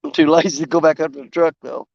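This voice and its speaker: Guy fishing live, hoarse and losing his voice, highish voice, dry dull sound. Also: fairly even intonation, quick pace, darkish tone. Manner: rambling, self-mocking, drawling. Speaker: fishing vlogger streaming live. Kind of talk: casual live-stream chat while fishing. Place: outdoors by a river.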